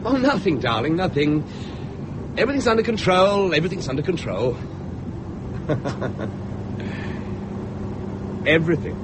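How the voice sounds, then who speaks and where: An actor playing a restless, reckless husband with a secretive edge in a radio thriller. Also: male voice, on the high side, very animated, fairly quick. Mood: excited, evasive. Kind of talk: radio drama acting. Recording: car engine noise, old radio play.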